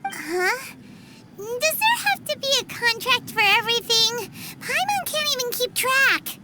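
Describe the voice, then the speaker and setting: A woman voicing a tiny, excitable, childlike fairy companion in an anime-style video game, squeaky and full of exclamation. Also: measured pace, heavy background noise, heavily acted. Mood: exasperated. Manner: squeaky, exclaiming, speaks of self in third person. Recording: music playing behind the voice. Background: prominent game music.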